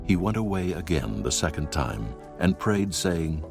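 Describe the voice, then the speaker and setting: Very deep solemn man narrating scripture. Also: sing-song, measured pace, gravelly. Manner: solemn, formal. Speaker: audiobook narrator. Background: background music.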